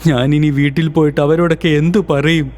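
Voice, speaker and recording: A man voicing a hardworking young village laborer who talks in an earnest, pleading way, for an animated storybook. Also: on the high side, a little fast, faint music behind, lightly acted.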